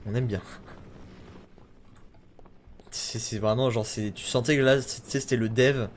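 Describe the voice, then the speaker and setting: A man chatting and joking as he streams a shooter game, with sudden shouts breaking through. His voice sits at a middling pitch. Gunfire and game noise rattle clearly behind him. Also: fairly even intonation, unhurried. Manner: chatty, jokey, shouts in bursts. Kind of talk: casual gaming chat. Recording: noisy game audio with gunfire.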